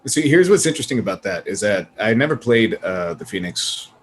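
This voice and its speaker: Animated man chatting over some room noise. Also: fairly low pitch, a little fast. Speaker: podcast panelist. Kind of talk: casual panel chat about movies.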